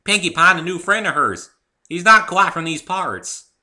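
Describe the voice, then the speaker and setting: A man's voice: sits high, animated, normal speed, sharp tone, pitched above the performer's own voice, heavily acted. A man voicing a friendly young female farm pony with a folksy US Southern drawl in a story reading. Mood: hearty. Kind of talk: dramatic fan fiction reading.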